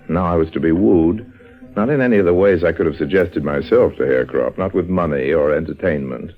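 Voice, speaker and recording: An actor playing a suave, sardonic con man who narrates with wry, urbane deliberation in old radio drama style. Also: a bass voice. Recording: muffled old radio recording. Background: music.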